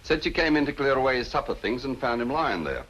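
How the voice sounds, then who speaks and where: An actor playing a respectful, plain-spoken police constable, reporting simply in classic drama style. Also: male voice, mid-range voice, sing-song, fast talker, fairly bright tone, a little hiss or hum. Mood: deferential. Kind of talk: classic film and radio drama acting.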